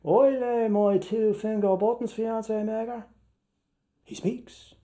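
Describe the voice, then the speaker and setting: A man's voice: sits high, steady tone, takes it slowly, dark tone. Man playing coy gossiping young woman. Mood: coy, insinuating.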